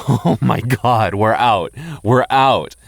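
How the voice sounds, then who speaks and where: A man's voice: medium pitch, drawn-out words, vocal fry, no room sound, clean studio sound, exaggerated voice. A young man voicing a goofy, doting goth boyfriend in an ASMR roleplay, talking in a stammering, self-teasing way with frequent laughs. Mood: playful. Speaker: voice actor.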